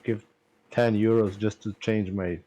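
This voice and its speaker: A man from Serbia with a Serbian accent, speaking English as a second language in halting casual chat with many pauses and fillers on a live stream. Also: fairly low pitch, fairly even intonation, dark tone.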